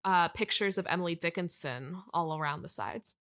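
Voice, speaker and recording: Bright, gushing manic pixie dream girl played by a woman. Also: lowish voice, normal speed. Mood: whimsical. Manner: gushing, self-consciously whimsical, dramatic. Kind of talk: improvised mock-interview comedy.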